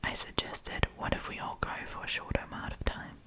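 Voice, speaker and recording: A woman whispering in a breathy, chatty, informal way as she hosts an ASMR storytime, reading aloud and commenting. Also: fairly quick. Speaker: host of storytime series.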